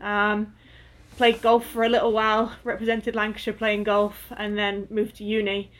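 A woman's voice: mid-range voice, monotone, normal speed, fairly bright tone. A woman in her twenties from Northwest England, a guest athlete chatting casually in an interview, with lots of fillers and easy laughter. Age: 20s.

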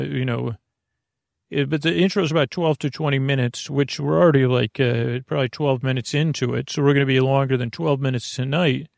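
Man, gravelly creaky voice, rambling podcast host, halting and self-deprecating, bedtime monologue, dry close sound. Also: medium pitch, no echo. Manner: meandering, halting, tangent-prone, self-deprecating.

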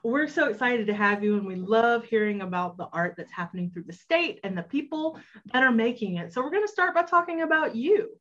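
A woman hosts a weekly arts radio show, welcoming her guest in a friendly, chatty way at a middle pitch. The recording sounds dull and lo-fi. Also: animated, brisk, a bit bright. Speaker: radio host. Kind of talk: radio interview.

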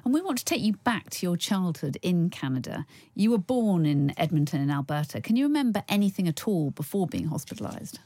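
A woman co-hosting an interview podcast, reading a scripted introduction and asking questions in a measured, formal way. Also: fairly low pitch, big pitch swings, mellow, dry recording. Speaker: podcast co-host.

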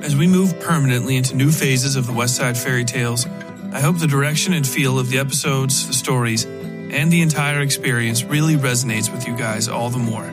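A man's voice: medium pitch, a little fast, music in the background. A man hosting a fiction podcast and narrating horror stories in a scripted storytelling style.

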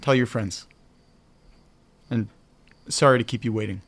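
A man gives a casual, informal show announcement. He speaks slowly in a mid-pitched voice. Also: a little hiss or hum. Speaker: audio drama show announcer.

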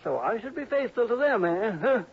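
Cranky, stingy old man, high querulous voice, badgering and suspicious, muffled vintage radio sound. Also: big pitch swings, normal speed, slightly rough, exaggerated voice. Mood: insistent, suspicious. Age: older. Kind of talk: old-time radio drama acting.

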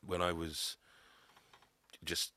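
A man telling his own story as a podcast guest, halting often with a stammer. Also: sits low, monotone, bright, forceful tone. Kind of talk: personal testimony.

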